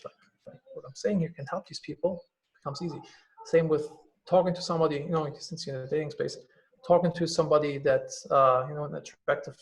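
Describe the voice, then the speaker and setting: Fast-talking German-accented man with gravelly voice. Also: a little high, poor audio. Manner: candid, swears casually, lots of fillers. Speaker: guest, company CEO. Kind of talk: casual podcast conversation.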